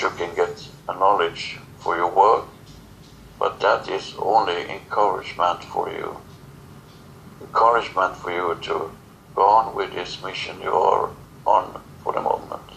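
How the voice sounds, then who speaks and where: A man with a low, heavily creaky voice speaks non-native English in solemn, broken phrases, as if delivering channeled spiritual teaching. His delivery is very slow, and there is faint noise behind him. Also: a bit bright, rough recording. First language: not English. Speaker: spiritual channeler answering questions.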